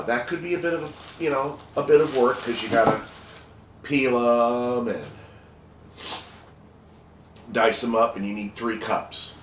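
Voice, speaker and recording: A man in his fifties hosting a casual home cooking video from his kitchen, talking in a slow, homely, chatty way and sounding a little congested. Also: mid-range voice, fairly bright tone, roomy sound, a little hiss or hum. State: slightly congested.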